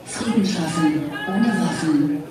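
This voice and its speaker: A woman's voice: on the low side, takes it slowly, crowd noise. A woman giving a declamatory rally speech with chanted slogans. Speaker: rally speaker. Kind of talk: speech over loudspeakers. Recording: distant echoey loudspeaker with loud music.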